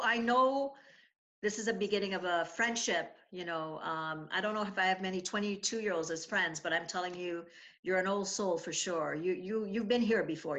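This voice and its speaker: A woman's voice: medium pitch, a bit bright. Chatty woman host in her fifties. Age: 50s. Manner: friendly, hesitant with many fillers. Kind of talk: interview talk show.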